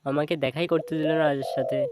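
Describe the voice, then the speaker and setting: Man voicing a fast-talking brave little mother bird. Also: fairly high pitch, fairly even intonation, heavy background noise, lightly acted. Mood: pleading. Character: small brave mother tailorbird seeking justice from the king. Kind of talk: cartoon voice acting.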